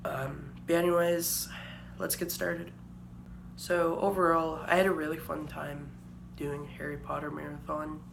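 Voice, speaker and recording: A young man with a sore throat talks casually to camera, his slightly high voice heavily gravelly and hoarse. He rambles along, filling pauses with ums and uhs. Faint noise sits behind him. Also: level tone, a bit bright. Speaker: vlogger. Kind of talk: casual vlog review.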